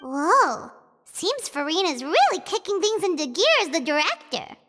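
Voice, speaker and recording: A woman voicing a small, excitable, childlike companion creature in a video game, with a squeaky voice. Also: moderate pace, long reverb tail, heavily acted. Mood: impressed.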